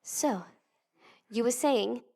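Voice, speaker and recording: An insolent, blunt female field agent , acted by a woman in a sci-fi audio drama. The voice sits a little high. The delivery is impatient and sarcastic, openly defiant of authority. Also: slow pace, vocal fry, dark tone, exaggerated voice. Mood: curt.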